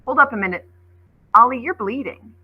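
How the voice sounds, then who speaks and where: A woman's voice: a little high, moderate pace, breathy edge, narrow, tinny audio, pitched above the performer's own voice. A woman voicing a practical, helpful young boy in a storybook, earnest and inquisitive. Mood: urgent.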